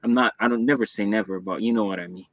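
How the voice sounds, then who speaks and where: Blunt man from Egypt, low voice. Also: fairly even intonation, fast talker, a bit bright, dry recording. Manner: blunt, matter-of-fact. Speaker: guest reseller. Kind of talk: casual interview chat. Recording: dull dry lo-fi sound.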